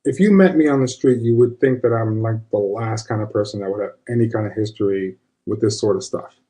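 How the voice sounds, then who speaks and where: A man's voice: on the low side, fairly quick, dark tone, lightly acted. A man playing an ordinary, square fellow telling a first-person tale in a conversational, confiding way. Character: self-described square man recalling his witch grandmother. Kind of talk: first-person storytelling monologue.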